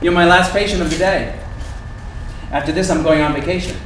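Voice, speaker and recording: A man playing a calm, dry-humored surgeon in a stage play, deadpan and reassuring. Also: fairly high pitch, fairly quick, lightly acted. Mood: matter-of-fact. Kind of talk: live stage play acting. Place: theatre stage, indoors. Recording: echoey theatre room, faint noise.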